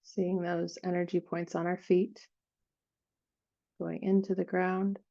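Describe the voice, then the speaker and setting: A woman with a lowish, noticeably creaky voice leads a guided meditation. She speaks gently in unhurried phrases, leaving frequent pauses as she improvises the visualization. The recording is somewhat dull and lo-fi. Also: flat delivery.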